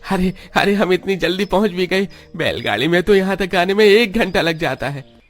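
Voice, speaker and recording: A man voicing an excitable, easily startled simple villager in a cartoon, with rustic exclamations. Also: sits high, normal speed, pitched above the performer's own voice. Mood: amazed.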